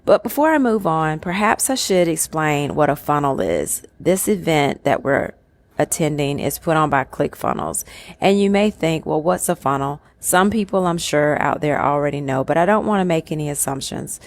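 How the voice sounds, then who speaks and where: A woman with a lowish voice hosts her own podcast, her voice a touch raspy from the early hour. She talks in an upbeat, conversational way, recorded from a room at a resort. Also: lively intonation, normal speed. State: slightly raspy from early morning. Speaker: podcast host. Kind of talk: solo podcast monologue.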